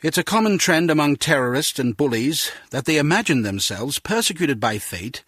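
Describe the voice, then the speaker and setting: An earnest male mentor from a spy game, speaks with a husky grain and lively, swelling pitch. His manner is oratorical and moralizing. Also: normal speed, no echo, clean studio sound. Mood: impassioned. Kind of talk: scripted spy game dialogue.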